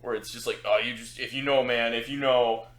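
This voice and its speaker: A man's voice: medium pitch, fairly even intonation, fairly quick, crowd noise, low-quality recording. A man co-hosting a casual podcast, swearing freely and mimicking other people as he talks. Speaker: podcast co-host.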